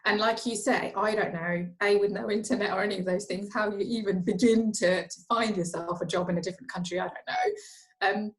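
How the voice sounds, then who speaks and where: A chatty woman from Norway talks as a guest, her pitch sitting right in the middle. She speaks casually, doubles back over her own words and restarts sentences as she goes. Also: steady tone, a little fast, low-quality recording. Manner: chatty, self-interrupting with repeated words. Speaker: guest, finance professional. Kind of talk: casual interview conversation.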